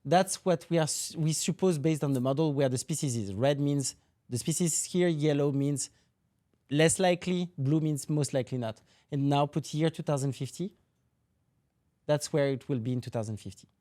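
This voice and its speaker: A man's voice: on the high side, normal speed, mellow. Middle-aged man with a French accent. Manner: explanatory, loose non-native phrasing with restarts. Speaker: marine ecology professor, podcast guest. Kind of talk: podcast interview.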